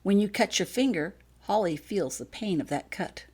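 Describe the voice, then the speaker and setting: A woman voicing a calm, earnest man in an audiobook story, measured and gently apologetic as he explains. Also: medium pitch, slightly rough, pitched above the performer's own voice. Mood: earnest explaining.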